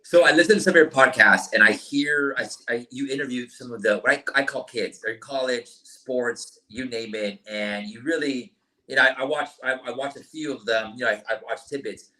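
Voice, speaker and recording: A man's voice: mid-range voice, fairly quick, pushed and bright, low-quality recording. A man in his late thirties, hosting a podcast, asking rambling, enthusiastic questions in casual chat. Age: late 30s. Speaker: podcast host.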